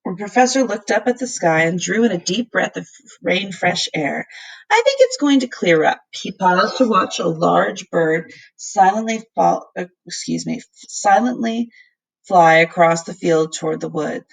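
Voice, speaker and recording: Woman, teacher, read-aloud narration, gravelly creak, raspy edge, mid-range pitch. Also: a little slow, rough recording. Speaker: teacher reading to class.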